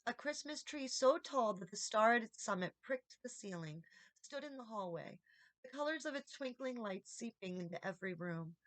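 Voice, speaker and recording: A woman's voice: a little high, expressive, moderate pace, fairly bright tone, dry recording. Woman narrating a storybook, dry sound. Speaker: audiobook reader.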